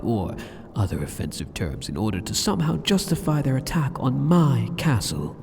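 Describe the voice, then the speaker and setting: A man playing a sadistic, arrogant demon king in a scripted ASMR roleplay, speaking straight to the listener in a taunting, condescending, theatrical manner with drawn-out phrases. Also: lowish voice, a little mellow, slight background noise. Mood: smug, taunting. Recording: long cavernous hall reverb.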